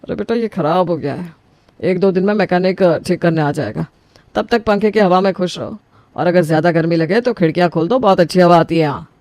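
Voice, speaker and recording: A genial old father-in-law talks in soothing, fatherly tones, as a man acts him out. His voice sits notably high but mellow, and it is recorded dry. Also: a little fast, dry recording. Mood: calm.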